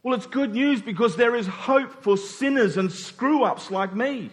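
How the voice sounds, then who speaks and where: Man, high-pitched voice, preacher, conversational sermon, repeated key phrases, rhetorical questions to congregation. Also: unhurried. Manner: rhetorical check-ins with the congregation; emphatic repetition of key phrases.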